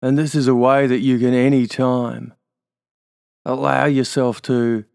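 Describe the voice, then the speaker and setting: A man's voice: medium pitch, flat delivery, a little slow, creaky, darkish tone, clear, resonant tone, dry recording, studio quality. A man with an Australian accent leading a guided hypnosis induction, giving soothing suggestions and tag questions.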